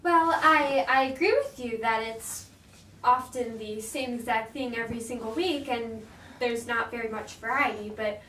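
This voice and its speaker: Woman acting a flustered royal servant, high voice, deferential, hesitant, amateur stage comedy, distant echoey sound. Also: moderate pace, fairly bright tone, natural voice. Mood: doubtful, flustered. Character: flustered, deferential young female royal attendant. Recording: echoey room, distant mic, faint noise.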